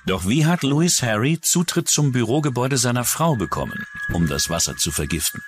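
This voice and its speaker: A man's voice: mid-range voice, sing-song, a little fast, soft background music. A man reading scripted true-crime documentary narration.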